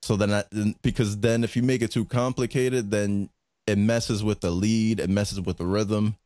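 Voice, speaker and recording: A man with a fairly low voice explains his music-making in a halting, filler-heavy way. He restarts his sentences often, and the recording is dry and close. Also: fairly even intonation, mellow, no echo. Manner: hesitant, many fillers and restarts. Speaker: music producer and streamer. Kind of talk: casual chat.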